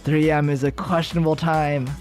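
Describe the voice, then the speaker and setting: A man's voice: fairly high pitch, flat delivery, fairly quick, a little mellow, soft background music. A young man with an American accent chatting with viewers on a livestream, rambling and giggly, full of fillers and restarts. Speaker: VTuber streamer. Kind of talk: casual livestream chat with viewers.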